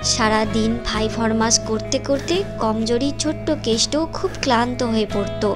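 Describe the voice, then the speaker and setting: High-voiced woman narrator over music. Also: fairly even intonation, moderate pace. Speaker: storyteller, narrator. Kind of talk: scripted story narration.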